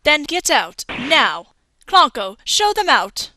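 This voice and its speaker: Snappish, contemptuous woman scientist, played by an actress for a game dub; forceful, swooping delivery. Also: high-pitched, very animated, slow, slight background noise, exaggerated voice. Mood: angry. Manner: curt, snappish, sneering. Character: hostile, scornful woman scientist guarding her lab. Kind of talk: video game fandub acting.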